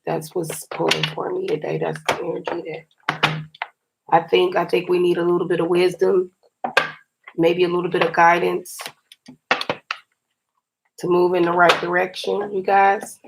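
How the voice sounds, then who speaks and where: Woman tarot reader, raspy today, low and flat delivery, chatty with viewers, noisy hissing recording. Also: measured pace, pauses often. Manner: chatty and encouraging, often says "you guys". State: slightly scratchy, raspy voice. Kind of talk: casual tarot reading for viewers.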